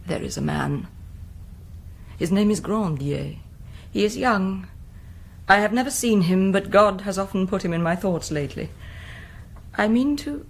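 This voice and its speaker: A British woman playing an intense, brooding Mother Superior in a radio drama, moving between command and hush. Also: mid-range voice, expressive, a little slow, vocal fry. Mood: secretly intent. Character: troubled, imperious Mother Superior of a convent.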